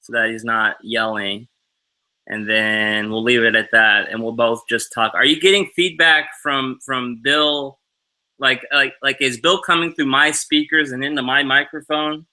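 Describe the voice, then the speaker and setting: A man's voice: medium pitch, steady tone, measured pace, bright, forceful tone, poor audio. A tired man co-hosting a loose, joking live-stream talk show from home over a video call on a headset. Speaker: co-host. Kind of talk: casual live-stream chat.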